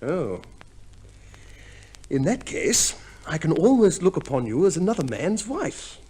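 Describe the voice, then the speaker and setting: A fairly high male voice with big swings of pitch, a smug and pompous major in a vintage radio comedy. He sounds theatrical and thoroughly self-satisfied. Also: very animated, a little slow, warm tone, breathy edge, full character voice. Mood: suave, flirtatious. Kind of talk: 1950s radio comedy play acting.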